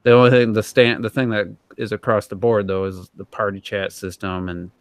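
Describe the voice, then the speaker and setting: A man's voice: lowish voice, level tone, a little fast, smooth, no room sound. A man chatting casually and informally as a podcast co-host, in a rambling way. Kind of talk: casual gaming podcast discussion.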